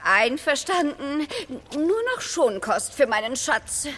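A woman's voice: high-pitched, lively intonation, slow, a bit bright, slight background noise, full character voice. An actress playing a doting, theatrical Egyptian queen in a children's audio drama, speaking in a gushing, dramatic way. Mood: grateful.

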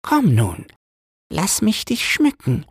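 A man acts out an elderly mermaid queen from a storybook, a strict and proud grandmother. The voice is pitched high and swoops about, with a fussy, commanding manner. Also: huge pitch swings, takes it slowly, breathy edge, dry recording, clean studio sound, heavily acted. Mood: fussing, proud.

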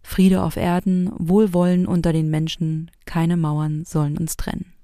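A woman's voice: fairly low pitch, flat delivery, unhurried, mellow, dry recording, studio quality. A woman hosting her own audio piece in scripted narration. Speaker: host and maker of a private Christmas audio piece.

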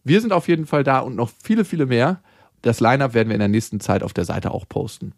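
A man's voice: mid-range voice, expressive, fast talker, gravelly, a little mellow, dry recording, clean studio sound. A man co-hosting a podcast, reading listener mail and trading frank, joking banter. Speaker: podcast co-host.

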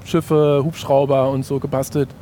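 A man's voice: level tone, normal speed, warm tone. A man chatting casually as a conversation partner on a podcast. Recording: faint rumble of outdoor city traffic. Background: faint rumble, vehicles.

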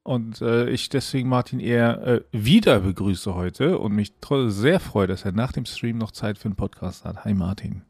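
A man's voice: on the low side, normal speed, creaky, few pauses, darkish tone, slightly rough, no room sound. A man co-hosting a podcast in casual conversation. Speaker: podcast co-host.

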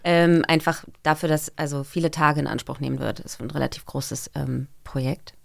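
Low-voiced woman chatting casually. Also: brisk, gravelly. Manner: relaxed, thinks aloud with many fillers. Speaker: voice actor guest. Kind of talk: casual interview chat.

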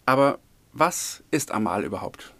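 A man's voice: medium pitch, lively intonation, moderate pace, sharp tone, dry recording. A male host who reads guest introductions and asks short, friendly questions. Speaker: podcast host. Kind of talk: interview hosting.

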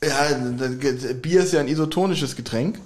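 A man co-hosting a podcast in casual chat, jokey and quick to laugh and tease. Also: fairly high pitch, darkish tone. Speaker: podcast co-host.

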